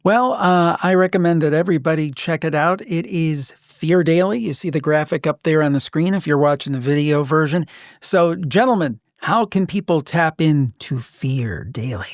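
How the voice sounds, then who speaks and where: A man's voice: a little high, moderate pace, no room sound, studio quality. A man hosting a podcast interview in an upbeat, encouraging manner. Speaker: podcast host.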